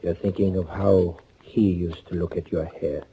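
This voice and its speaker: An actor playing a grim, commanding man on an undercover mission, terse and deliberate, in 1940s radio thriller style. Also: level tone, slight background noise, poor audio. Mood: grim, controlled. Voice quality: low, dark, husky. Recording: old 1940s radio recording.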